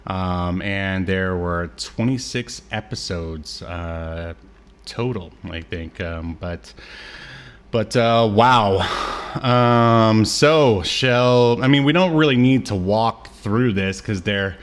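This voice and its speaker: A man with a fairly low voice talks through a show as a podcast co-host. His delivery is casual and halting, wandering as he goes. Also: clear, resonant tone. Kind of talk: casual chat recapping a show.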